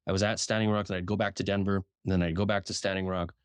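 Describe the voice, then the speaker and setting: A man, a writer and journalist, talking frankly in a casual interview, swearing now and then and restarting his sentences, speaking above his naturally deep voice. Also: level tone, very fast, clear, resonant tone, dry recording. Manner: frank, swears casually, repeats words when starting sentences.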